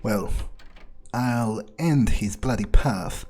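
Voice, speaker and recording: A man voicing a power-hungry, menacing vampire in a grandiose, brooding in-character monologue for a game playthrough, with trailing pauses. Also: on the low side, darkish tone. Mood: brooding, scheming. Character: power-hungry, menacing male vampire, musing coldly on revenge.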